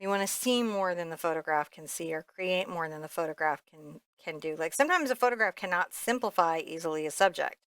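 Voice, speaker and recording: A woman's voice: lowish voice, brisk, few pauses, a bit bright, smooth, dry recording. A woman giving a casual step-by-step painting lesson, thinking aloud in a candid, informal way with rambling asides. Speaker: painting instructor.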